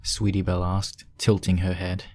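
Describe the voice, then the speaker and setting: Low-pitched story narrator. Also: completely flat delivery, normal speed, a little mellow. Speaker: fan fiction narrator.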